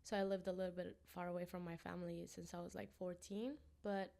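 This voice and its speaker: A young woman from Romania, a pickleball player and coach, answering interview questions in a relaxed, halting way. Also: on the low side, creaky. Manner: relaxed, halting, with many ums and restarts.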